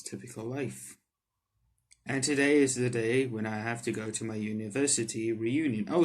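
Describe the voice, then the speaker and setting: A man's voice: medium pitch, fairly bright tone. Casual man doing let's play commentary. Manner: informal, slangy, swearing, reacts aloud to what he reads. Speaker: gaming YouTuber, host. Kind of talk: let's play commentary, reading visual novel text aloud with casual asides.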